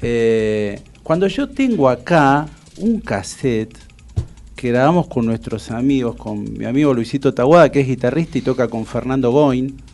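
A middle-aged Argentine man with a Rioplatense accent, hosting a radio interview in a chatty, relaxed and informal way that wanders off into asides. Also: mid-range voice, normal speed. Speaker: radio host. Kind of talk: casual radio interview chat.

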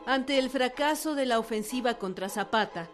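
A woman's voice: fairly high pitch, normal speed. A woman narrating a scripted historical documentary in a formal, articulate manner. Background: music.

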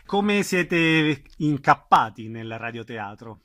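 A man's voice: mid-range voice, lively intonation, takes it slowly, a bit bright. A man hosting a conversational radio interview, putting questions to his guest.

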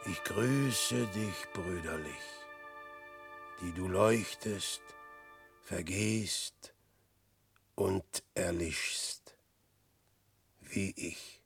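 A despairing old sinner in a sixties radio verse drama. His low voice moves very slowly and heavily, with a rough gravelly grain. He declaims in a brooding, theatrical way, like someone weighing a wasted life. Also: faint music behind, heavily acted. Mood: mournful resignation. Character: despairing old man, boastful sinner facing his death. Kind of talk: 1960s German radio drama verse acting.

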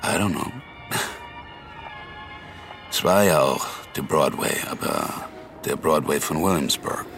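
Very deep, gravelly, world-weary man. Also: slow pace, long pauses, over music, heavily acted. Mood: resigned. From New York, United States. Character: laconic, world-weary American man confiding in his lover. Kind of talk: intimate radio drama acting.

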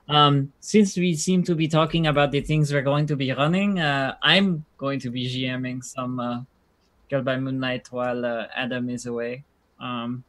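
A man with a mid-range voice chats on a game stream. He speaks in a laid-back, joking manner. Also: medium pitch. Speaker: regular player. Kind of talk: casual chat.

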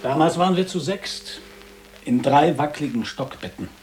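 A middle-aged man playing a nostalgic former boarding-school pupil in a radio drama, speaking in a reflective, deliberate, literary way. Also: a little high, lively intonation, darkish tone, faint music behind, natural voice. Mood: reminiscing.

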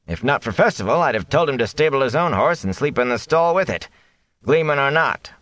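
A man's voice: mid-range voice, lively intonation, fairly quick, a bit bright, no echo, voice pushed up, natural voice. A man voicing a shrewd, stout middle-aged innkeeper who is also the village mayor, hearty and wry.

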